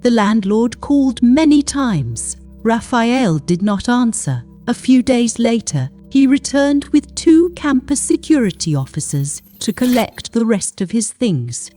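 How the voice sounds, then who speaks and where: A woman reading a scripted horror story in a deliberate, suspenseful way, with short dramatic phrases. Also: on the high side, very animated, warm tone, faint music behind.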